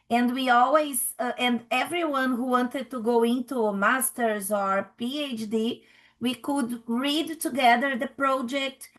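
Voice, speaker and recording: Middle-aged Brazilian woman, anthropologist on a panel; Brazilian Portuguese accent in English, slightly higher voice, unhurried, halting with restarts. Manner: halting, many restarts and fillers. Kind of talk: panel talk.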